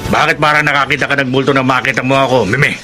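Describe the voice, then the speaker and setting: An actor playing a menacing, impatient gun-carrying lover in a Filipino radio drama, taunting and threatening. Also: male voice, mid-range voice, steady tone, very fast, natural voice. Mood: taunting menace.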